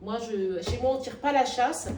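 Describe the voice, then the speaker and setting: A woman being interviewed, with frequent restarts and hesitations that give way to emphatic, lively stretches. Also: fairly high pitch, normal speed, slight background noise. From Nigeria and Madagascar. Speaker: actress and workshop founder, interviewee. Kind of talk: spontaneous interview talk. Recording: echoey room, heard from a distance.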